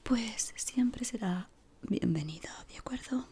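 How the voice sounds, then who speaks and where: A woman doing an ASMR roleplay in a breathy whisper, playing a polite but exacting decorator of an eerie office maze. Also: medium pitch, expressive, slight background noise. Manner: slow, deliberate, pausing, softly spoken.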